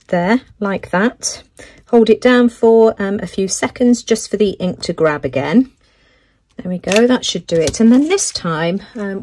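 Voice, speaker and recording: A woman hosting a crafting video, explaining each step with plenty of ums, her voice a little croaky. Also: mid-range voice, a little mellow. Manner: frequent ums, friendly explaining. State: slightly croaky voice. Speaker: crafting channel host. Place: craft table, indoors.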